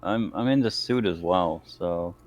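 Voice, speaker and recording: A man playing a tabletop roleplaying game, talking casually in a halting, informal way. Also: deep voice, darkish tone. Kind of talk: casual chat during a game.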